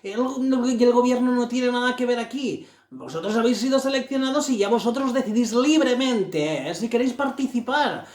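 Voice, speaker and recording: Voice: mid-range voice, lively intonation, a little fast, a little mellow, natural voice. An actor playing a fussy psychologist who hesitates and repeats himself while explaining over a video call. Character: male psychologist doctor, fussy and long-winded. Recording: echoey room with music underneath.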